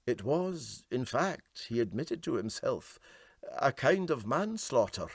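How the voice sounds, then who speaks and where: Male Scottish narrator for comic short fiction, animated, dry studio-like sound. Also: mid-range voice, slow pace, gravelly, no echo. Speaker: storyteller. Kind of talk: scripted comic story narration.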